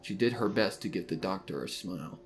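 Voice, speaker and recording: Low-voiced man narrating a dark mystery. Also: brisk. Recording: guitar music behind the voice.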